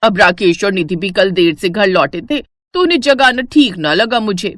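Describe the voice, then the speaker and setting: A woman voicing a pushy, chatty middle-aged neighbor woman who always begs for food, with a shrill wheedling tone. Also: lively intonation, moderate pace, dry recording, studio quality, lightly acted.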